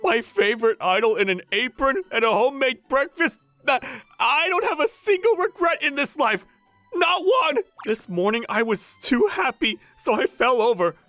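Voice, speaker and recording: A woman's voice: on the high side, normal speed, hardly any pauses, no echo. A woman voicing a flustered, lovestruck young working man who gushes and stammers in a manga dub. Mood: overjoyed. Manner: excitable, stammering, gushing. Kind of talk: manga dub voice acting with narration. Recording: music under the voice.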